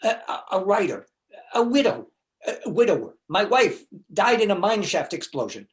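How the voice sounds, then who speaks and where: A man's voice: high-pitched, sing-song, moderate pace. A man in a comedy reading, playing a neurotic, insecure widower who stammers and frets about dating. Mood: agitated. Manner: stammering, anxious, self-deprecating. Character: neurotic, insecure, fretful widower facing a date. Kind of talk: comedy readers theater.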